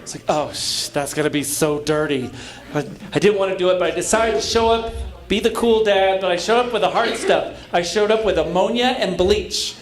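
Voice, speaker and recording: A middle-aged man doing a stand-up comedy set on a club stage, camp and breezy, with quick asides to the audience. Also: high-pitched. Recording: echoey club with laughing crowd. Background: audience laughter.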